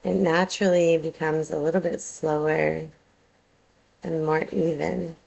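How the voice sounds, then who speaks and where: A woman teaching yoga nidra, guiding a meditation in a calm, soothing way with measured phrases and pauses. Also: sits low, creaky, lo-fi sound. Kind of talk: guided meditation, soothing instruction.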